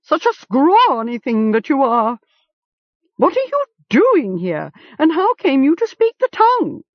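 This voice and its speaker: Woman voicing a mocking, screeching raven, very high, storybook creature, dull lo-fi sound. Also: huge pitch swings, measured pace, no echo, full character voice. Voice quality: screechy, cawing. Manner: haughty, mocking. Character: cantankerous screeching male raven.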